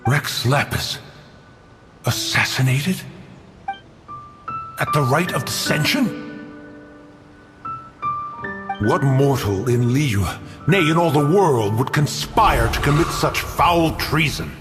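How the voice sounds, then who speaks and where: A haughty, ancient male guardian spirit from a fantasy game, stern and stately. The delivery is slow and formal with sweeping pitch swings, ringing in long reverb over music. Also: lively intonation. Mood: shocked, indignant. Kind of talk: dramatic game voice acting.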